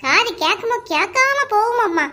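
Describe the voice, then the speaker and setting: A woman's voice: very high voice, expressive, moderate pace, heavily acted. A woman playing a scolding, exasperated village mother in a cartoon comedy, with a Kanyakumari Tamil accent. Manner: exasperated, sharp-tongued. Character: scolding, nagging village mother of a teenage girl.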